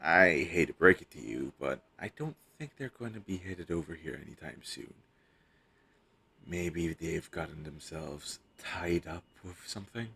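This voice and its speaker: A man's voice: deep voice, animated, measured pace, creaky, bright, forceful tone, slightly rough, heavily acted. A man playing a captured superhero posing as a hostage in an intimate audio roleplay, wry, teasing and flirtatious.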